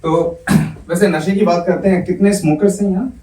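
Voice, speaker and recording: A young man on a comedy club stage, reciting poems in a measured way and bantering quickly between them. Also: high-pitched, fairly even intonation, dark tone. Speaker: poet and comedian. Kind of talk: poetry recital with stand-up banter. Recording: roomy club sound from a distance.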